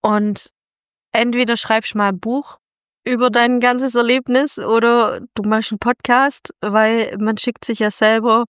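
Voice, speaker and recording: A woman in her late thirties chatting as podcast co-host, her voice smooth and clear at a middle pitch. She speaks in a friendly, slightly hesitant way, recorded close and dry. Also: level tone, a little slow, fairly bright tone, dry recording. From Germany. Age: 30s. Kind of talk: casual chat.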